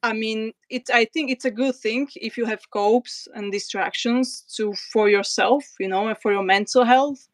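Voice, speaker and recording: A woman's voice: on the high side, steady tone, moderate pace, few pauses, no echo. A woman from Slovakia with a Slovak accent, hosting a casual livestream and reading out viewer comments, hesitant with many fillers. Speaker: livestream host.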